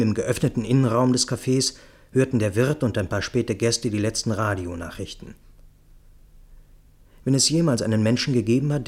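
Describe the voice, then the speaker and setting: A man's voice: mid-range voice, a little mellow. A man giving a scripted first-person literary narration for a radio play, voicing the dialogue as he tells it.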